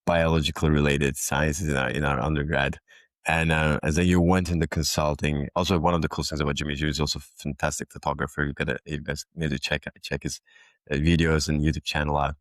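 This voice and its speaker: Very deep-voiced male podcast host. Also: dry recording. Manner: loose, halting, with frequent restarts. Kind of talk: casual interview chat.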